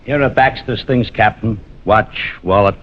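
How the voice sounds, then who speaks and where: An actor playing a dutiful, brisk police sergeant in an old-time radio crime drama. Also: male voice, lowish voice, over music, close to an everyday voice. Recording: dull old radio recording.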